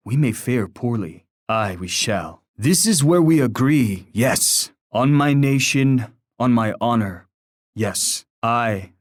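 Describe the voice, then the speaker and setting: A man's voice: medium pitch, dry recording, close to an everyday voice. Man acting a solemn war chief, close and dry. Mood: grim, then resolute agreement. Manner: grave, formal, oratorical delivery. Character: solemn Native war chief, stern, poetic male leader. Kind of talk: theatrical video game character lines.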